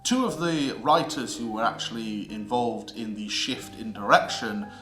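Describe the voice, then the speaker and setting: A British man giving a scripted educational narration as a theatre history presenter, with an emphatic, theatrical delivery and deliberate pauses. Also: mid-range voice, animated, fairly bright tone. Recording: roomy echo with music underneath.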